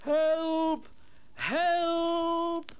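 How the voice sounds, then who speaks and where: A man voicing a frightened young male steam engine in a storybook, with shrill, strained, panicky cries. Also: flat delivery, very slow, highs cut off, heavily acted. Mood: panicked. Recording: noisy old storybook LP.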